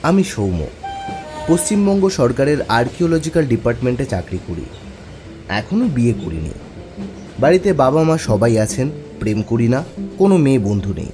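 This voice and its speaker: A man playing a young office worker, narrating his own eerie story in an expressive storytelling manner. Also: mid-range voice, moderate pace, pauses often, close to an everyday voice. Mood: matter-of-fact. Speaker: narrator and lead character. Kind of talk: dramatic first-person horror story narration. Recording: music behind the voice.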